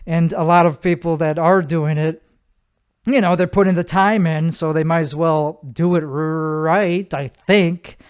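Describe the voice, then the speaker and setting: A man with a nasal, slightly high voice talks through his solo podcast in a loose, rambling way, often stopping mid-sentence to correct himself. His tone is smooth and clear, and he speaks from his bedroom. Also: fairly even intonation, a little slow. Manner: rambling, self-correcting, many fillers. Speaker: podcast host. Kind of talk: casual solo podcast monologue.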